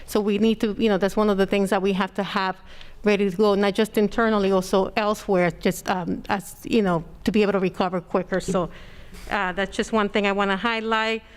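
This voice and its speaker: Smooth-voiced woman presenting a tech report. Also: mid-range voice, little pitch movement, brisk. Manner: hesitant, many fillers; naturally loud voice. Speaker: district technology director. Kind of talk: presenting a report at a public meeting. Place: school board meeting room. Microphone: standing microphone, a little far off.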